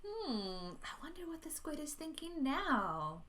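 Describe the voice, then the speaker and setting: A woman hosting an animated storytime for preschoolers, expressive and often putting questions to her young listeners. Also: high-pitched, measured pace. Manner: expressive, addresses young children with questions and gasps. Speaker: children's librarian hosting storytime.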